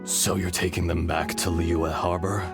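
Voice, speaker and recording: An actor playing an ancient, weary dragon spirit in human form, speaking formally and gravely in a video game. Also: male voice, deep voice, little pitch movement, normal speed, over loud music. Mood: guarded, terse. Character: ancient male dragon spirit speaking through a young man's body, solemn and weary. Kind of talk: scripted video game voice acting.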